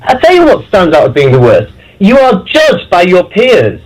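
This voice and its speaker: Man, radio caller, emphatic, indignant, slightly high voice. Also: wildly swooping pitch, moderate pace, poor audio. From England (Leeds). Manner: emphatic, indignant, uses stock phrases. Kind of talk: phone-in call.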